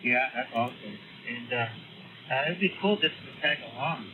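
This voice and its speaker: A man, an amateur radio operator, chatting in a relaxed, hesitant way over the air. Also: medium pitch, moderate pace, narrow, tinny audio. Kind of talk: casual on-air radio chat. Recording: radio transmission with static.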